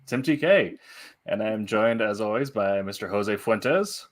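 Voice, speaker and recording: A man hosting a casual interview show, speaking in a halting, hesitant way. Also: lowish voice, expressive. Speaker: show host.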